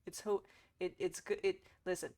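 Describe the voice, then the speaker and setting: A woman's voice: fairly low pitch, little pitch movement, normal speed, a little mellow. A woman doing casual, joking, foul-mouthed commentary as a game streamer.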